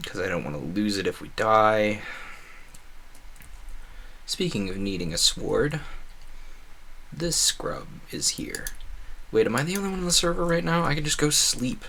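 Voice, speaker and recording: A man's voice: fairly low pitch, pushed and bright. A man doing casual video game stream commentary, rambling playfully and thinking aloud with a self-deprecating streak.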